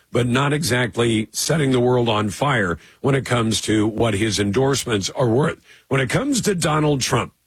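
A man hosting a political talk radio show, speaking in a brisk, emphatic monologue with a slightly hoarse voice. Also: mid-range voice, no echo. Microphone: close studio mic.

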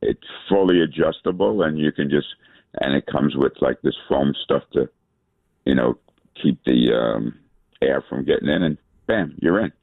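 Gravelly caller on a tinny phone line. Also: male voice, a bass voice, dry recording. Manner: rambling, halting, gravelly. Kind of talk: phone-in chat.

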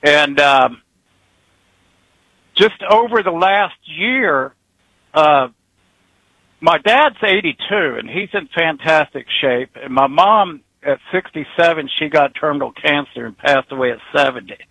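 A man's voice: on the high side, expressive, measured pace, creaky, bright, forceful tone, dry recording, low-quality recording. Rambling folksy man in his sixties. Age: 60s. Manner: rambling, folksy, chuckles between thoughts. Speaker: retired truck driver caller. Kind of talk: casual phone-in chat.

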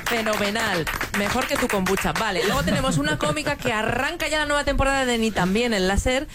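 A woman's voice: a little high, expressive, fairly quick, over a noisy crowd, heavy background noise. A woman co-hosting a live radio quiz show, introducing guests with playful, teasing banter. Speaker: co-host.

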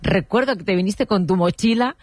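A man's voice: sits high, fairly quick, no echo. A radio host interviewing callers, repeating his questions and reassuring them patiently.

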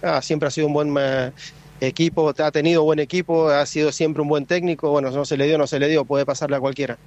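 A man's voice: on the high side, level tone, brisk, a little mellow. A man from Argentina with an Argentine accent, an enthusiastic football fan who boasts about his team. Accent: Argentine Spanish.

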